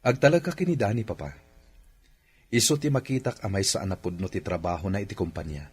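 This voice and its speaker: Man acting as stern husky Ilocano husband. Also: sits low, fast talker, soft background music. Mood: respectful, assured. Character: stern husband Albert, businessman's son, commanding and wounded. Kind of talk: Ilocano radio drama acting.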